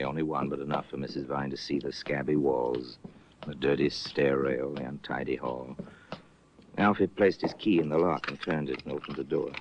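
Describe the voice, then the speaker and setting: A man narrates a radio crime drama in a measured, grave voice. His voice is extremely deep and has a faint hiss behind it. The sound is muffled like an old broadcast.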